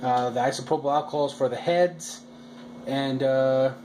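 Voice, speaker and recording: A man's voice: mid-range voice, fairly even intonation, normal speed, a little mellow, a little hiss or hum. A man narrating a hobby project vlog in a casual, hesitant way, often stopping and repeating his words as he goes. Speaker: hobbyist video maker.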